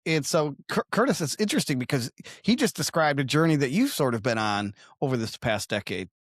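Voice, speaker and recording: A man's voice: mid-range voice, lively intonation, fairly quick, no room sound, clean studio sound. A man hosting a podcast, making casual interjections and joining the discussion. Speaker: podcast host.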